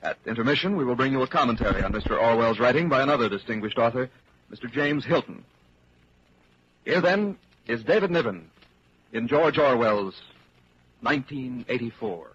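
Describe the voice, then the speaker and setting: A man's voice: medium pitch, expressive, normal speed, long pauses, fairly bright tone, husky, no room sound, muffled recording. A man announcing a radio drama in a formal, declamatory 1940s broadcast style.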